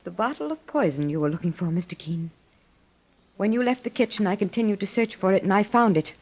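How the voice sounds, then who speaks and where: An actress playing a concerned, earnest widowed aunt in an old-time radio mystery, speaking properly. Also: female voice, medium pitch, expressive, brisk, a little mellow. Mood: earnest explaining. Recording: dull old radio recording.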